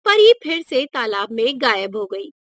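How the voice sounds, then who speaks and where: A woman's voice: a little high, very animated, unhurried, fairly bright tone, dry recording, studio quality. A woman narrating a scripted moral story for children as a storyteller.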